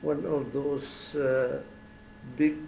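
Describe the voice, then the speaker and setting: Older man in his 70s from Syria, retired diplomat, slow and reflective, many restarts, echoey room. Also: a little high, flat delivery, creaky, dark tone, lo-fi sound, slight background noise. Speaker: former ambassador, interviewee. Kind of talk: oral history interview. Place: home.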